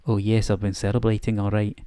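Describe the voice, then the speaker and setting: A man's voice: lowish voice, completely flat delivery, a little fast, dark tone, smooth, dry recording, clean studio sound, natural voice. A man voicing a stern, irritable older female professor with a Scottish accent in a bedtime story reading, clipped and indignant. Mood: ruffled, impatient. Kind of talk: softly voiced bedtime story character acting.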